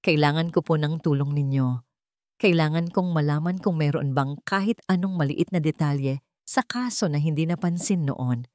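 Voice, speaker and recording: A woman narrator voicing a polite, calm and determined young man in a dramatic audio storybook. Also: low-pitched, normal speed, dry recording, studio quality, close to an everyday voice. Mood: earnest pleading. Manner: calm, respectful.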